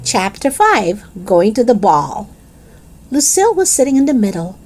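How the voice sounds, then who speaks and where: A woman's voice: on the high side, moderate pace, over loud music. A woman reading a children's chapter book aloud, narrating expressively as a child in the first person. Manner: animated, dramatic character reading.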